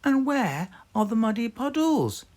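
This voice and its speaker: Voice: medium pitch, very animated, measured pace, darkish tone, pitched above the performer's own voice. A performer voicing a cheerful little girl pig in a children's storybook, with a chirpy, childlike manner.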